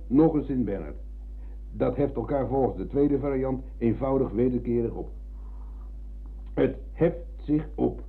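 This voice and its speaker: Casting: older war veteran, ironic, embittered philosopher, medium-pitched, old radio play with narrow phone-like sound. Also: male voice, husky. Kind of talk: radio drama acting. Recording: tinny, narrow-band old radio recording.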